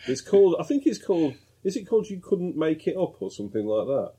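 A man's voice: on the high side, very animated, quick pace, warm tone, slightly rough. A man co-hosting a podcast, trading dry, teasing banter with his co-hosts. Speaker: podcast co-host. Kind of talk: casual comic chat.

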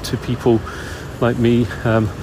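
A man's voice: medium pitch, monotone, measured pace. Hesitant man over noisy city street sounds. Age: middle-aged. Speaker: podcast caller. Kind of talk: casual voice message. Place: outdoors in a city, on the way to work. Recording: loud street and traffic noise. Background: vehicles and urban noise.

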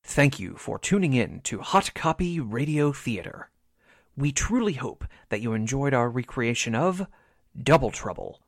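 A man's voice: mid-range voice, no echo. Male announcer, scripted radio sign-off, formal, very varied intonation, dry studio sound.

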